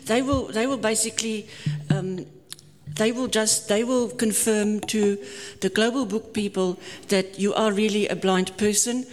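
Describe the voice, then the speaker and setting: A woman's voice: mid-range voice, fairly even intonation, a little slow. A woman chairing a conference session, answering questions in a friendly, explanatory way with frequent restarts. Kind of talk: conference Q&A moderation. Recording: long reverb of a conference hall.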